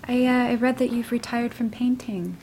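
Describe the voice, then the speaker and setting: An actress playing an earnest, polite new gallery attendant in an audio drama, soft-spoken, halting and tentative. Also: female voice, fairly high pitch, slight background noise, natural voice. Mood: eager, apologetic. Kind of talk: naturalistic audio drama acting.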